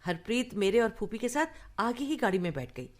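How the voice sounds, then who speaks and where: A woman's voice: on the high side, very animated, fairly quick. A woman playing a young woman fondly telling childhood memories in a radio play. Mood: amused, reminiscing. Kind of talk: radio play acting, storytelling.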